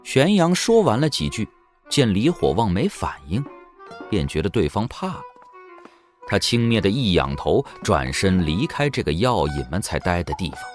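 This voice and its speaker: A man's voice: on the low side, big pitch swings, moderate pace. A man narrating an audio drama in a dramatic, scripted storytelling style. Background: background music.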